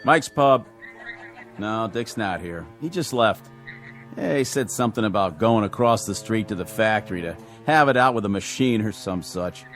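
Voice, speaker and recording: World-weary, lively-toned bartender. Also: male voice, on the low side, moderate pace, faint music behind. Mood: matter-of-fact. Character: tired, sensible bartender humoring a late drunk. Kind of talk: radio drama acting.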